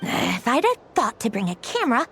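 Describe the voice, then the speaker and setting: A woman's voice: on the high side, huge pitch swings, moderate pace, a bit bright, a little hiss or hum. A woman voicing an aloof, prickly young woman in a video game, curt and haughty, hiding her feelings. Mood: wistful, disdainful. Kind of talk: video game character acting.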